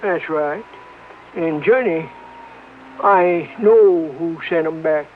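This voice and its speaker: An actor playing a wild, folksy old ranch hand with a rustic Western American drawl, in 1950s radio style. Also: male voice, a little high, slow. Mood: sly, confiding. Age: older. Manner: folksy, drawling, cackling cowboy talk. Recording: narrow, tinny old radio recording with hiss.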